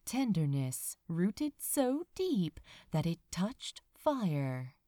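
Woman, storybook narrator, unhurried pace, very animated intonation, tender dramatic phrasing. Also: fairly low pitch, no echo, studio quality. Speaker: amateur audiobook narrator. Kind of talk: expressive scripted fiction narration, storybook reading.

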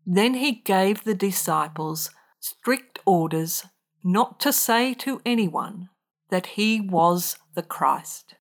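A woman's voice: mid-range voice, lively intonation, slow. A woman reading a gospel passage aloud as a scripture reader.

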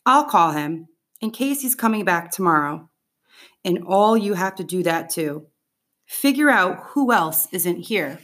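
A woman's voice: on the low side, animated, measured pace, a bit bright. A woman reading the part of a bossy, eager fifth-grade boy rallying his friends in an audiobook. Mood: eager, insistent.

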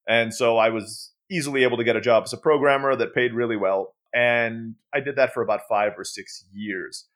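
A man's voice: medium pitch, steady tone, brisk, few pauses, fairly bright tone. A man with an American accent, a native Turkish speaker, chatting as a podcast guest and laughing easily. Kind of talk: casual interview chat.

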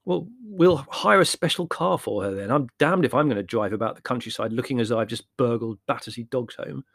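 A man's voice: medium pitch, lively intonation, a little fast, creaky, no room sound. A man voicing an exasperated, sarcastic and argumentative young man in a storybook, indignant and emphatic.

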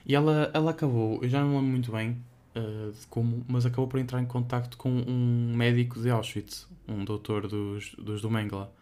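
A man's voice: mid-range voice, little pitch movement, a little fast, hardly any pauses, mellow. Hesitant young Portuguese man, casual podcast talk. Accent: European Portuguese. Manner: hesitant, rambling, filler-heavy. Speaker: podcast co-host.

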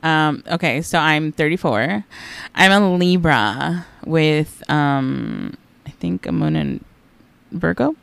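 A woman's voice: low voice, takes it slowly, gravelly, fairly bright tone, no echo. A woman in her thirties from Los Angeles co-hosting a podcast in an upbeat, chatty way. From Los Angeles, California. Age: 30s. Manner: upbeat, chatty, laughs easily. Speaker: podcast co-host. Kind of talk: casual chat.

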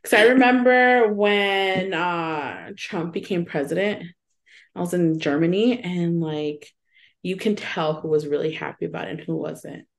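A young woman co-hosts a casual podcast chat in a slightly low voice. She is lively and laughs easily, and she slips into little acted-out lines when she retells what people said. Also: a little slow. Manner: animated, laughs often, acts out quoted voices. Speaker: podcast co-host.

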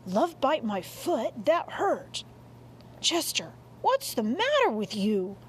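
Woman voicing a wry male dog, animated pitch. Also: takes it slowly, slight background noise, exaggerated voice. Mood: sputtering indignation. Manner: wry, sputtering, teasing. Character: wry, sensible male dog, friend to a cat. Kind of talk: audiobook character voice.

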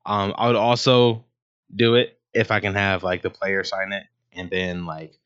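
A man's voice: low-pitched, level tone, a little slow, a bit bright, clear, resonant tone. A man hosting his own sports talk show, rambling casually with many ums and restarts. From Ohio, USA. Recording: dull lo-fi sound.

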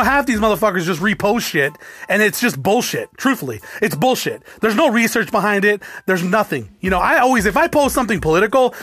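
A man's voice: high voice, fairly bright tone, no room sound. A man from the United States talking casually to his viewers in a rambling, profane rant that restarts and repeats itself. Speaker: vlogger.

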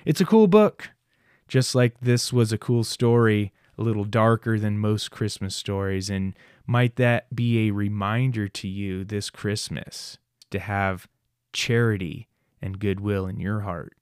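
A man's voice: fairly low pitch, unhurried, a little mellow, clear, resonant tone, no room sound, clean studio sound. A man hosting a podcast in a scripted, playfully theatrical narrating style. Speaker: podcast host. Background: music behind the voice.

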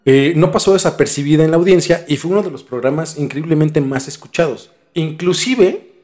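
A man's voice: a little high, expressive, brisk, a little mellow. A young Mexican man telling a story as a podcast host in a casual, slangy conversational way. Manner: informal, slangy.

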